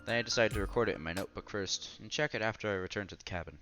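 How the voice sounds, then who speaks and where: A man's voice: lowish voice, rapid-fire, over music. A man reading a visual novel aloud as a streamer, with casual commentary along the way.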